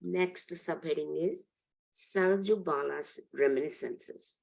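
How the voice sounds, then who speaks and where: A woman with a hoarse voice, the class reader, earnestly reading a spiritual biography aloud in a steady, measured way. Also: low voice.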